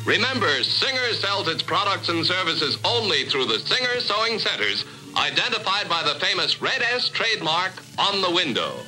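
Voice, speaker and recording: American male announcer, high voice, lively pitch swings, formal and emphatic, music behind. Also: normal speed, low-quality recording. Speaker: sponsor announcer. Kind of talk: 1950s television sponsor announcement.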